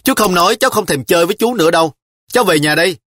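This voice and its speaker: A man's voice: high-pitched, expressive, very fast, fairly bright tone, no echo, clean studio sound, voice pushed up. A man voicing a stubborn, sulky little girl of about ten who pleads and insists in an audiobook. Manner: pleading, insistent child's whine.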